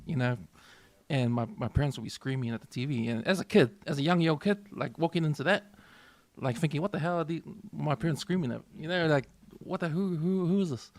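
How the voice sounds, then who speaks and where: A man's voice: on the high side, a little fast, a little mellow, no room sound. A man in his forties with a New Zealand accent, co-hosting a sports podcast in an easygoing, rambling way. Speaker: podcast co-host. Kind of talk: casual chat.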